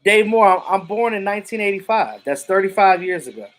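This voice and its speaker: Man in his thirties, live stream host, casual sports talk, blunt and profane, fairly high voice. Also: expressive, fairly quick, a bit bright, poor audio. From Jamaican family roots. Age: 30s. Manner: loose and outspoken, swears freely. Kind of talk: casual sports chat reading viewer comments.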